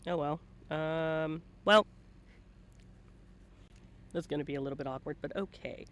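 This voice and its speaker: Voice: medium pitch, measured pace, a bit bright. A host chatting casually on a livestream, with a playful, teasing, sweary manner. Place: indoors at a computer setup, live online stream.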